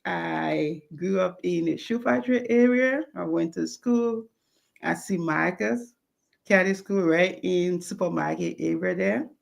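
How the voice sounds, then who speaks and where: A woman's voice: on the low side, creaky. A woman from Liberia, telling her life story as an interview guest. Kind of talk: conversational interview.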